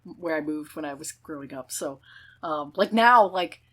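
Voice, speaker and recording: A woman's voice: sits low, animated, normal speed, a bit bright. A woman from Michigan co-hosting a casual movie podcast, talking in loose, rambling sentences full of fillers and the odd swear. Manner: chatty and profane, lots of fillers. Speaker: podcast co-host. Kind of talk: casual film podcast chat.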